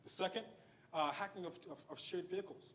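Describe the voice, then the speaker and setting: A man explains use cases in a startup pitch, laying them out point by point and checking in with the crowd. His pitch runs a little high, and faint ambience sits behind him. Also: a little fast, fairly bright tone, a little hiss or hum. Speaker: startup team member pitching. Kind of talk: presentation pitch.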